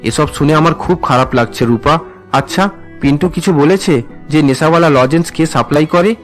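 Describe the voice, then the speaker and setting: An actor plays an earnest young man in a cartoon drama. He speaks quickly in a fairly high voice, concerned and sincere, with music behind. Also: lightly acted. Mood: sympathetic. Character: earnest young men: husband, student, dutiful son.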